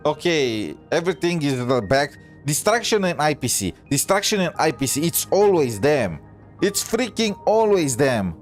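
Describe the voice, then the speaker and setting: A man's voice: fairly high pitch, big pitch swings, normal speed. A man giving casual, reactive commentary as a game streamer. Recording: faint game music behind the voice.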